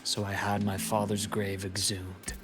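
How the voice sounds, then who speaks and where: An actor voicing a somber, restrained man in a video game story, speaking in a measured, reflective way. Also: lowish voice, monotone, close to an everyday voice. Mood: grim, composed. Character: somber, restrained adult man recounting family tragedy. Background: clear game music.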